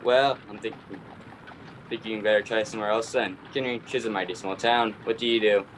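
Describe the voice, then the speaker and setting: A man's voice: fairly low pitch, steady tone, fairly quick, fairly bright tone, a little hiss or hum, close to an everyday voice, low-quality recording. A man playing a blunt, folksy Scottish donkey cart driver in a radio play, recorded over a home video call. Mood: folksy, discouraging.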